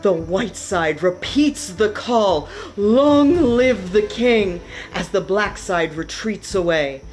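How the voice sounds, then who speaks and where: Slow-paced woman narrating over music. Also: medium pitch, expressive, darkish tone. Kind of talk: scripted storytelling narration for a radio play.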